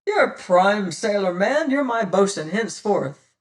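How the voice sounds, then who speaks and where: A reader voicing a cold, mocking male pirate captain who taunts and commands in a loud, drawn-out way. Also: medium pitch, animated, recorded from a distance, close to an everyday voice. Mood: menacing. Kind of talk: audiobook character voice.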